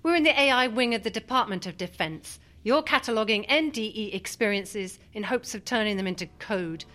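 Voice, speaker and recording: An actress playing a cynical, defiant doctor and former army chaplain in a radio drama, speaking in a pointed, argumentative way. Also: female voice, medium pitch, a little fast, bright, forceful tone, lightly acted. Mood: accusing, cynical.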